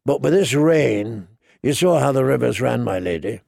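A man's voice: medium pitch, expressive, normal speed, gravelly, darkish tone, no room sound, studio quality. A man voicing a gracious, courteous nobleman with a polite, deferential manner in an audiobook. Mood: polite, apologetic. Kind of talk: audiobook character dialogue.

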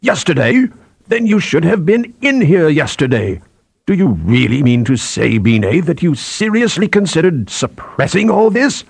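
A man's voice: on the high side, big pitch swings, normal speed, gravelly, slightly rough, no room sound, voice pushed up, heavily acted. A man voicing a stern, austere old head scientist with an emphatic, commanding manner in an audiobook. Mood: angry, indignant. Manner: ominous, emphatic.

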